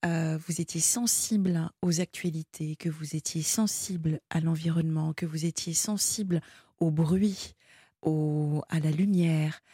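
A woman hosting a late-night phone-in radio show, speaking gently and a little hesitantly while helping her caller along. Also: deep voice, fairly even intonation, a little slow, husky, no room sound, studio quality. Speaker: radio host. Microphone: studio microphone.

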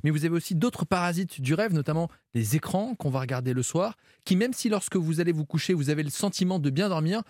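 A man speaking as the resident doctor on a radio health show, explaining sleep problems in a brisk, methodical way. His voice sits a little high for a man and moves at a fast clip. The studio sound is close and dry. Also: lively intonation, darkish tone, no echo. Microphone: studio mic.

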